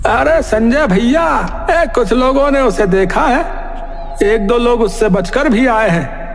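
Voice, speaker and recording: A man's voice: very high-pitched, animated, slow pace, vocal fry, in a large hall, faint music behind, full character voice. A man voicing a frightened, superstitious villager in a cartoon, dramatic and pleading. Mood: fearful. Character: anxious, superstitious village men pleading in dread. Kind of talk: Hindi cartoon voice acting.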